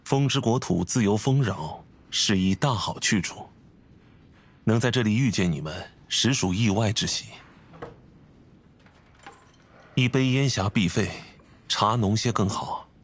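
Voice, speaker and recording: Man as a low-voiced, composed scholarly gentleman. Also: natural voice. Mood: serene. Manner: formal, measured, courteous, instructive. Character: refined, composed, scholarly gentleman and tea connoisseur. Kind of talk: video game character acting.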